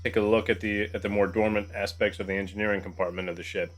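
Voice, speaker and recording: A man playing a tabletop game, planning aloud in a casual, halting way. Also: on the low side, level tone.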